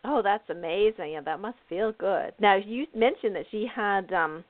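A woman's voice: mid-range voice, normal speed, no room sound. A woman hosting a radio show, asking questions in a chatty way with many fillers. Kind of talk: radio interview chat. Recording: dry, tinny phone-like sound.

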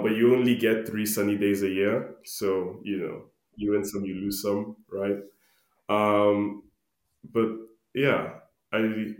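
Low-voiced young man, echoey room. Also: a little mellow. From Ghana. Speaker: podcast guest. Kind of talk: casual conversational interview answers. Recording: roomy, echoey voice recording.

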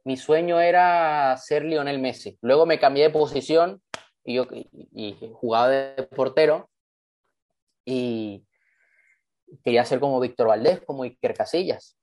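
A man's voice: medium pitch, unhurried, darkish tone. A young man from Venezuela giving an online training talk over a video call, earnest and enthusiastic as he tells his story. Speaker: motivational trainer.

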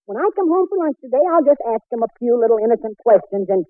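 A man's voice: very high-pitched, sing-song, fast talker, no room sound. A man with an American accent playing a bumbling, overconfident suburban husband and father in 1940s radio comedy, stammering and muttering. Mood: cocky. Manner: flustered, stammering, muttering asides. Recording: muffled, narrow-band old radio recording.

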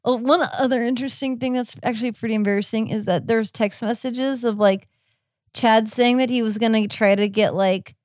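A woman's voice: medium pitch, dry recording, clean studio sound. A woman co-hosting a podcast in casual, conversational chat. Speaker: podcast co-host.

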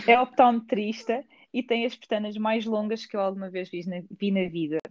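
A woman's voice: mid-range voice, fairly even intonation, normal speed, a little mellow, no echo, lo-fi sound. A woman with a Lisbon Portuguese accent hosting a podcast, chatting casually with a guest and laughing often. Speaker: podcast host. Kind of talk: casual conversational interview. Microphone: remote recording.

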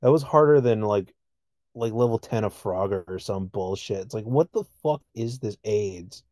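A man's voice: on the low side, lively intonation, moderate pace, a little mellow, dry recording, clean studio sound. A man co-hosting a casual gaming chat, complaining in a blunt, profane way. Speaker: podcast co-host. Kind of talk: casual video game chat.

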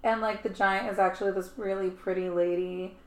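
A woman's voice: medium pitch, steady tone, a little fast, darkish tone, reverberant, poor audio. A woman co-hosting a podcast, telling stories in a chatty, casual way with lots of "like". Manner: chatty storytelling, frequent "like". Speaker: podcast co-host. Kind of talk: casual chat.

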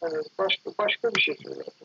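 A man talking casually and reflectively in an interview, halting and digressive, often correcting himself. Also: high-pitched, huge pitch swings, creaky, pushed and bright, muffled recording, slight background noise. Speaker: architect guest being interviewed.

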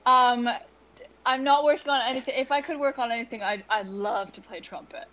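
A young woman, a musician guest in an interview, speaking softly and hesitantly with many ums and likes. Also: a little high, fairly quick, muffled recording. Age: young adult. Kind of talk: conversational interview.

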